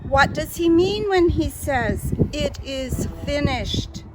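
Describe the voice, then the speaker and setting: A woman reading scripted dramatic narration in a solemn, deliberate manner. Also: high-pitched, animated, low-quality recording. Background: loud music.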